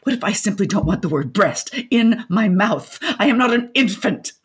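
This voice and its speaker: An older widow in a radio comedy, sits in proud indignation. She is talkative and full of opinions, delivering ornate complaints with theatrical, dry sarcasm in a medium-pitched voice. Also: lively intonation, moderate pace, breathy edge. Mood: indignant, deadpan. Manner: wordy, theatrical, dryly sardonic. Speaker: lead actress in a radio play. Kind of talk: comedic radio drama acting.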